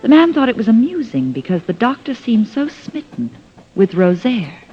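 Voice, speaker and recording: A woman's voice: a little high, sing-song, moderate pace, warm tone, faint music behind. An actress playing a composed, matter-of-fact woman partner to a sleuth, in 1950s radio drama style. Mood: matter-of-fact, amused. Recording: dull vintage radio recording.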